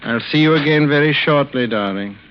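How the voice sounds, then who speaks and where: An actor in 1940s radio style playing a scheming, falsely tender husband who speaks politely, with unctuous, measured control. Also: male voice, a little high, natural voice. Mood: oily tenderness. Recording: muffled old radio recording, faint noise.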